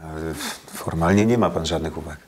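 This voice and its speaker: An actor playing a harried middle-aged foundation director, exasperated but lenient, in naturalistic film drama. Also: male voice, extremely low pitch, a little fast. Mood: defensive. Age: 40s.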